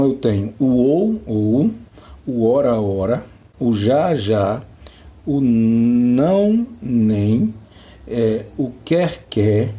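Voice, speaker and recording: Guy teaching grammar real slow, soft mellow voice, keeps checking in with the class. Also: mid-range voice. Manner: slow, explanatory, repeats examples with check-ins. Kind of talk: screen-recorded grammar lesson. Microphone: phone or computer mic.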